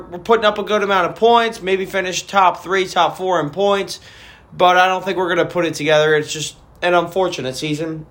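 A man hosting a casual fantasy football podcast, his voice raspy from a weekend party, rambling with frequent restarts. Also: high-pitched, a bit bright. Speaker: podcast host.

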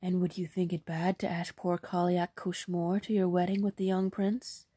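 A woman voicing a small old fairy woman who bargains slowly and coaxingly, slipping into hushed whispers. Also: lowish voice, level tone, breathy edge, no echo, clean studio sound.

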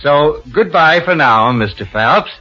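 A man's voice: a little high, expressive, unhurried, sharp tone, slight background noise. An actor playing a slick, broke, scheming press agent in an old-time radio drama, hesitant and stammering when he makes excuses. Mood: smooth, eager. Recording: muffled vintage radio recording.

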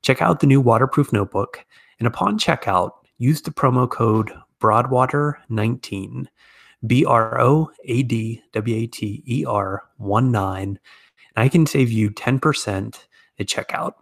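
An American man hosts a podcast. His voice sits at a medium pitch for a man. He delivers introductions and interview talk as the show's presenter. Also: a little slow, vocal fry. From United States.

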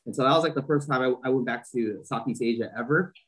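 A man's voice: mid-range voice, fast talker, slightly rough. A man in his forties, raised in Southern California, talking loosely as a podcast guest with lots of fillers and restarts. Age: 40s. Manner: rambling, many fillers and restarts. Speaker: podcast guest, fashion entrepreneur. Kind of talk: casual interview chat.

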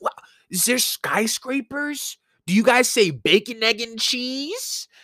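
A man puts on an awed out-of-towner for a comic story. The voice goes high and loud, stretching words slowly in over-excited, gushing questions. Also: no room sound, studio quality, full character voice. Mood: awed excitement. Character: wide-eyed, gushing out-of-towner, awed by the big city.

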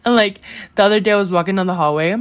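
A woman's voice: medium pitch, very fast. A teenage girl hosting her own podcast in a casual, rambling chat, full of "like" and "you know" and frequent giggles. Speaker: podcast host.

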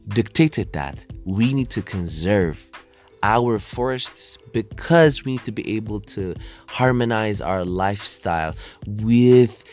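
Young man from ethiopia, solo podcast host, rambling with fillers and restarts, lowish voice, faint music behind. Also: animated, slow pace, a little mellow. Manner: rambling, many fillers and restarts, self-deprecating. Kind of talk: solo casual podcast monologue.

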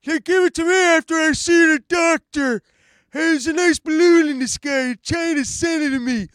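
A man doing a doddering old president, loud and childlike. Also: extremely high pitch, no room sound, voice pushed up. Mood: mocking. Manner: loud, slow, childlike mocking impression.

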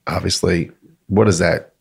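Middle-aged man, very deep rough husky voice, playful rambling dad. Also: steady tone, unhurried. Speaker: podcast co-host. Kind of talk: casual podcast chat.

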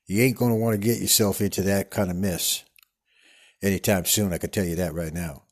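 Man voicing an old Texan-accented Western actor, low-pitched voice, a meandering storyteller who keeps losing the thread. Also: steady tone, fairly quick. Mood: rambling. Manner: meandering, digressive storytelling with many stumbles. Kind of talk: improvised comedic character monologue. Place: studio.

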